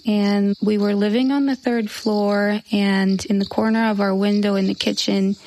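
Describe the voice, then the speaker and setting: A woman's voice: medium pitch, level tone, normal speed, a little mellow, rough recording. A woman from Ukraine, a wildlife conservationist, fondly telling a childhood memory. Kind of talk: personal storytelling interview.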